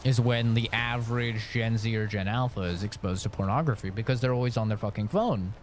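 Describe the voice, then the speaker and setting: A man's voice: fairly low pitch, brisk, a little hiss or hum. A man in his late twenties chatting casually while he streams a game, rambling frankly with lots of fillers. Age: late 20s. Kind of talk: casual chat while gaming.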